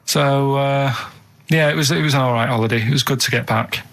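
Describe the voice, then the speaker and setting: Fast-talking grumbling man in his thirties. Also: medium pitch, gravelly, a bit bright. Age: 30s. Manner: matter-of-fact, grumbling. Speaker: radio show co-host. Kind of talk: casual anecdote and banter.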